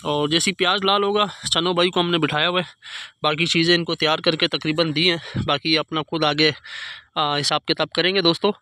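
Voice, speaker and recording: A man's voice: fairly high pitch, fairly even intonation, brisk, bright, forceful tone, no room sound, studio quality. A man with a Karachi Urdu accent chatting casually to viewers while filming a night fishing trip on the beach, talking into a handheld phone. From Pakistan. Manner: chatty, keeps addressing friends, frequent pious interjections. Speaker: fishing vlogger. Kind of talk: casual outdoor vlog narration. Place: night beach campsite by a fire, outdoors.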